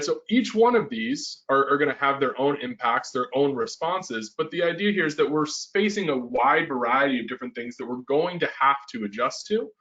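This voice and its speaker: A man's voice: medium pitch, a bit bright. A man presenting a business webinar in a casual way, full of "uh" fillers, restarts and a tag "right?". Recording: slightly dull webinar audio.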